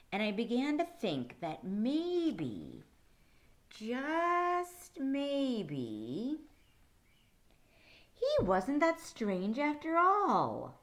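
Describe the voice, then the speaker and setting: A woman voicing a caring, earnest young girl who narrates a picture book in a dramatic, expressive way. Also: sits high, pitched above the performer's own voice, full character voice. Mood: hopeful wonder. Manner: dramatic, expressive storybook delivery.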